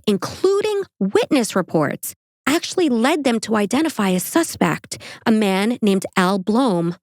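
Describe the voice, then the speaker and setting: A woman's voice: medium pitch, darkish tone, no echo, clean studio sound. A woman with a New York accent hosting a true crime podcast, reading the case and breaking off for casual, joking asides.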